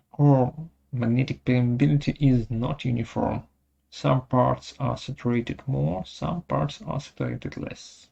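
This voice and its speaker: A presenter of unclear sex gives a step-by-step technical software demonstration in short, halting phrases, recorded as muffled webinar audio. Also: mid-range voice, vocal fry, breathy edge.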